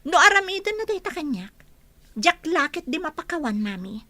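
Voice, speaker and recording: Woman acting high, animated scheming Ilocano young woman. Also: moderate pace, no echo, heavily acted. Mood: defiant, confiding. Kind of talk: Ilocano radio comedy drama acting.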